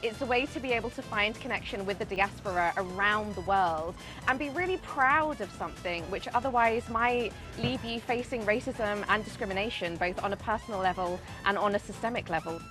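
A woman's voice: mid-range voice, brisk. Fluent woman speaking over music. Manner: fluent, considered. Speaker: interviewee. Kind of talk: articulate interview answer.

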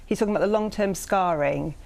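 A woman's voice: mid-range voice, fast talker, vocal fry, darkish tone. A woman from North East England, a political commentator on a debate panel, building long, earnest, persuasive arguments.

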